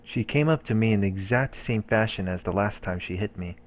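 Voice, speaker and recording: A man's voice: fairly low pitch, brisk. A man hosting a let's play, reading a visual novel's narration aloud.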